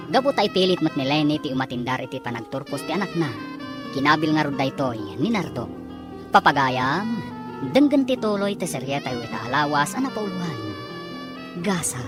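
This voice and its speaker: A woman with an Ilocano accent reading scripted narration and announcements for a radio drama. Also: deep voice.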